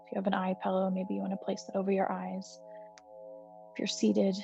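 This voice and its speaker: A woman meditation teacher guiding a healing breath meditation in a soft, slow, soothing way with gentle pauses. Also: mid-range voice, monotone, vocal fry. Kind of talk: guided meditation. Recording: slightly dull recording with soft music.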